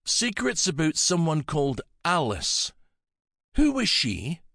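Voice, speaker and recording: Man doing a tender, high-pitched anxious young woman. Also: huge pitch swings, slow pace, gravelly, bright, forceful tone, no room sound, studio quality, heavily acted. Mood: curious. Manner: pleading, emotional, earnest.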